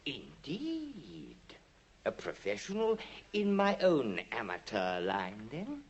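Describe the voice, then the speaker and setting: Sly, wildly swooping British planter. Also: male voice, mid-range voice, wildly swooping pitch, slow pace, husky, full character voice. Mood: smooth, insincere. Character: small, sly, suspicious male planter and amateur disease expert. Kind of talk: 1950s radio drama acting. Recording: noisy 1950s radio recording.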